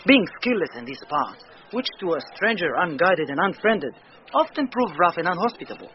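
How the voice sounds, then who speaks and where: An actor playing a devoted, anxious sea captain, speaking verse earnestly in classic radio Shakespeare style. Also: male voice, sits high, sing-song. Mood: devoted concern. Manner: earnest, measured verse delivery. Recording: dull, faintly noisy old radio broadcast.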